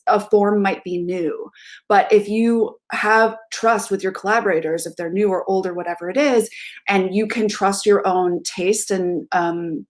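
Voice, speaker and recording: Thoughtful woman giving interview answers. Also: medium pitch. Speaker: theater director. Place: small room at home, on a video call.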